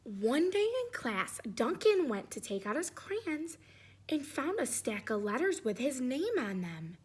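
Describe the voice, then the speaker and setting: A woman's voice: high-pitched, big pitch swings, measured pace, husky. A woman teacher reading a children's storybook aloud, with sing-song chatty asides and questions to her young listeners.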